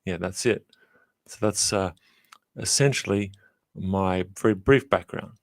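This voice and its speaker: Measured, low-voiced Australian-raised man. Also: creaky, dry recording. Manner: measured, frequent uh fillers. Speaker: guest, professor. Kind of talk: reflective interview answers.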